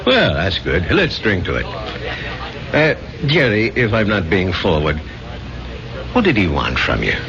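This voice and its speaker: A genial, inquisitive man, with huge swoops in pitch and an expansive manner. The 1970s radio drama sound is muffled, with clear chatter and din behind. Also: wildly swooping pitch, measured pace, long pauses, exaggerated voice. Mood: probing, concerned. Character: genial, inquisitive old acquaintance, probing gently.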